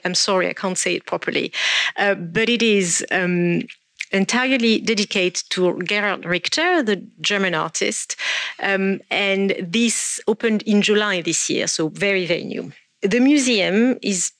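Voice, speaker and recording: A woman gives a talk from a lectern, speaking in a measured way with frequent ums and restarts. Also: medium pitch, a bit bright, dry recording. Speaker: art researcher giving a talk. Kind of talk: presentation lecture. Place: indoor stage at a lectern. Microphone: stand mic at lectern.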